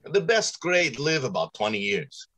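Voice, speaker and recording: Male, middle-aged, acting assured radio-play industrialist, emphatic and explanatory, husky voice with wide swings. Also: mid-range voice, very animated, a little slow, fairly bright tone. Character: confident, talkative robot factory manager. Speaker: actor. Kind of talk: old-time radio drama acting. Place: small indoor room on a video call.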